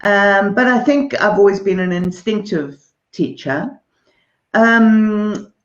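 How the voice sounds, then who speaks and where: Woman, South African accent, interview guest, hesitant, roomy, muffled video-call sound. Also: medium pitch. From Zimbabwe and South Africa. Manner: relaxed, thoughtful, hesitant with ums. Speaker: artist and author, interview guest.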